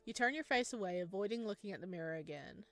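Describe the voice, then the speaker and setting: A woman's voice: medium pitch, expressive, close to an everyday voice. A woman reading a visual novel as a solemn, suspenseful narrator of a creepy horror story. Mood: uneasy, suspenseful. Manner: slow, hushed, suspenseful. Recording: music behind the voice.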